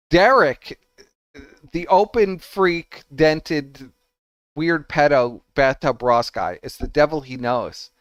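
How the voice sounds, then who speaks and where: Mocking streamer guy ranting, smooth voice, dry close mic. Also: fairly high pitch, no echo. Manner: sarcastic, scornful. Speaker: host. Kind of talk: mocking livestream commentary.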